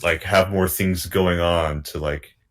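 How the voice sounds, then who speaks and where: A man in a casual group critique, thinking aloud in a halting way as a commentator. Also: low-pitched, steady tone, a little hiss or hum. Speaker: panel commentator.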